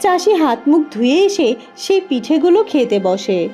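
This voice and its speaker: A woman's voice: sits high, big pitch swings, normal speed, dark tone, soft background music. A woman narrating a children's fairy tale as a scripted storyteller.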